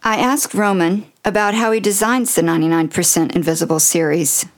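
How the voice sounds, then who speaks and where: A woman's voice: lowish voice, fairly quick, dry recording. A woman hosting a radio show, delivering scripted narration and putting questions to a guest. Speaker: radio show host and interviewer.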